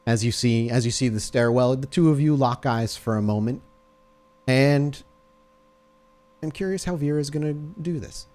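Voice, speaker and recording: A man working as game master, narrating a tabletop roleplaying scene in a deliberate, descriptive and dramatic way. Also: mid-range voice, a little mellow.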